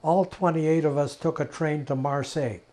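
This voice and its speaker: An elderly man from Czechoslovakia giving personal testimony, telling his story deliberately in short, emphatic phrases with pauses. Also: a little high, steady tone, warm tone. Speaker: interviewee, Holocaust survivor giving testimony. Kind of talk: personal oral history testimony.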